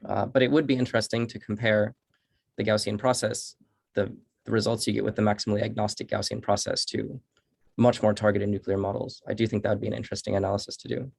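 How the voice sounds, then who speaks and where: A man with a fairly low, noticeably creaky voice explains technical material as a seminar speaker. His phrasing is halting, with words repeated as he works through an idea. The recording is close and dry. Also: level tone, no echo. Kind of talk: academic presentation and answering questions. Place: online virtual seminar.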